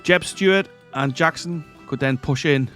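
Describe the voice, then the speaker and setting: A man's voice: on the high side, unhurried, pushed and bright, soft background music. A man with a stuffy nose from a cold, giving casual commentary over a strategy game. He rambles, corrects himself and chuckles often. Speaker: strategy game let's-play host.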